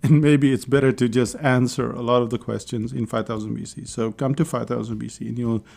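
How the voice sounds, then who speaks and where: A man hosting a casual solo podcast, chatty and persuasive, with his voice hoarse and going. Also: medium pitch, steady tone, a little fast, a little mellow, soft background music. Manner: chatty, persuasive, with small laughs. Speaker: podcast host.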